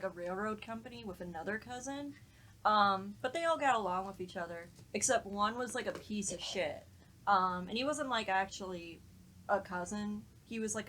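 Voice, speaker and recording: A woman's voice: mid-range voice, pushed and bright, a little hiss or hum. A woman in casual podcast conversation, telling stories informally with some swearing. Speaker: podcast panelist. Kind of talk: casual chat, anecdote telling.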